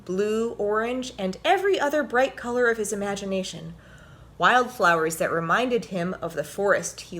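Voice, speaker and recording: A woman hosting a story time for children, reading and explaining things simply with expressive, deliberate phrasing. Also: medium pitch. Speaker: host and story reader, orchestra violinist.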